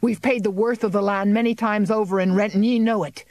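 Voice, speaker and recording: A reader voicing a defiant male tenant farmer of about thirty who speaks harshly and shouts in anger. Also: medium pitch, lively intonation, normal speed, dry recording, voice pushed up, close to an everyday voice. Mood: furious. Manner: shouting, harsh and rough-spoken.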